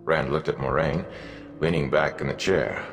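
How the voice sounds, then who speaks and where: A man narrating a fantasy audiobook in an expressive, measured storytelling manner. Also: extremely low pitch, creaky, in a large hall, soft background music.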